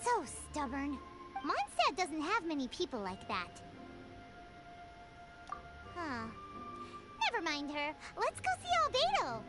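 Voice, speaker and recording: Game sidekick, tiny excitable fairy: squeaky, childlike, swooping delivery, loud music behind. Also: female voice, slow pace, pauses often, exaggerated voice. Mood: exasperated. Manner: exclamatory, refers to self in third person. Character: small childlike floating fairy companion, excitable and indignant. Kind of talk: video game voice acting. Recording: game audio under loud background music.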